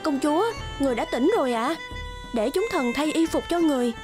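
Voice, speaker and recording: A woman's voice: sits high, brisk, close to an everyday voice. Woman acting a polite cartoon palace maid. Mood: respectful. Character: dutiful, deferential female palace maid. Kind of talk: cartoon fairy-tale acting. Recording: music under the voice.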